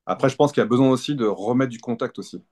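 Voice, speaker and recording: A man's voice: mid-range voice, very fast, darkish tone. A man in his fifties, a physiotherapist explaining his work as an interview guest in casual talk, thinking aloud and often rephrasing as he goes. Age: 50s.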